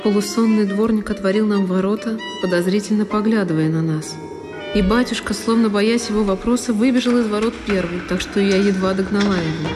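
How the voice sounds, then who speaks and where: A woman's voice: medium pitch, fairly quick. A woman giving an emotive, theatrical first-person narration of a literary drama. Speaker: solo performer, narrator. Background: music.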